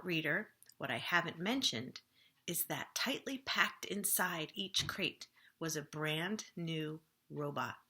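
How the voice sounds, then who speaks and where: Women's storytime voice, slightly low and very bright, expressive children's book narration. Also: female voice. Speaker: children's librarian reading a book aloud. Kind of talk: storybook read-aloud for children.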